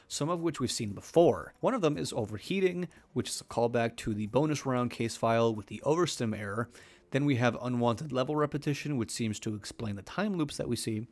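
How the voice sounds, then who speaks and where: A man narrating a scripted explainer video as its presenter. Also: medium pitch.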